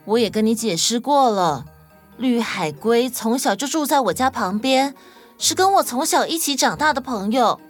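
一位女性在儿童动物故事里扮演温柔、真诚又重情义的年轻新娘。. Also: female voice, on the high side, expressive, normal speed. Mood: earnest, gentle. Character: sweet, loyal young bride in animal fable. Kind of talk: children's audio story acting. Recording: music behind the voice.

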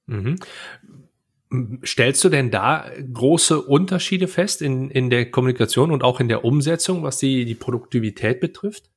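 A man's voice: mid-range voice, animated, moderate pace, slightly rough. A man hosting a podcast interview, asking long questions with restarts. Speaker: podcast host.

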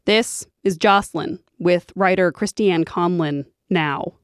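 A woman's voice: on the low side, takes it slowly, clean tone, no echo, studio quality. A woman hosting a radio programme, reading scripted narration. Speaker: radio host.

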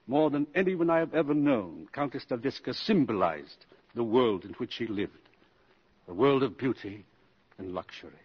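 Genteel, husky, expressive diplomat. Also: male voice, medium pitch, moderate pace. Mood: wistful. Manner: courtly, leisurely, gently wry. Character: genteel, wistful British ambassador, male. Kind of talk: 1950s radio drama acting. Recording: muffled old radio broadcast recording.

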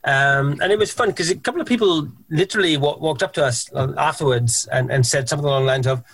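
A man's voice: on the high side, fairly bright tone, slightly rough, no room sound. A man, a guest on a podcast, chatting casually about his band, with frequent restarts and fillers. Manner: halting, lots of restarts and fillers.

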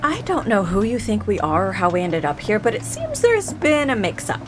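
Guarded, defiant woman, animated delivery. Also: medium pitch, normal speed, noisy background. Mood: baffled, protesting. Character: guarded, principled female agency operative held captive. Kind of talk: audio drama acting.

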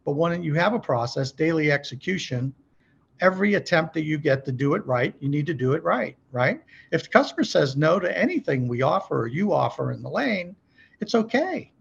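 A man with a slightly high, gravelly voice talks as a podcast guest in an emphatic coaching style. He often tags his points with quick check questions and slips into example dialogue. The audio is dull and lo-fi, and his voice has a husky edge. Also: very animated. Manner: emphatic, asks rhetorical check questions, acts out sample calls. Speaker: podcast guest, car dealership service trainer. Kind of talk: conversational coaching talk.

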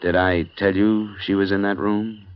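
An actor playing a hard-boiled, wry insurance investigator narrating a 1950s radio drama in a clipped manner. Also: male voice, lowish voice, flat delivery, normal speed, a little hiss or hum, lightly acted. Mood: tense. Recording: dull old radio recording with music.

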